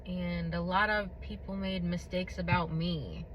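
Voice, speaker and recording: A woman hosting her own podcast who tells a personal testimony in a blunt, candid way, with a booming voice and many fillers. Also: fairly even intonation, unhurried, a little hiss or hum. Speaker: podcast host. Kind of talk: personal testimony, casual monologue.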